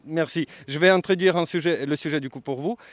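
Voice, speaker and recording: A man's voice: fairly high pitch, brisk, dry recording. A man working as a street interviewer, asking questions politely and formally and giving his commentary. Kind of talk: vox pop interviewing and commentary.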